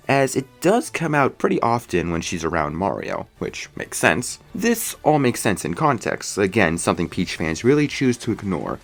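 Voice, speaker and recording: Animated low-voiced man delivering a review rant. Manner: emphatic, sarcastic, ranting. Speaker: video reviewer. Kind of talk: opinionated scripted review narration.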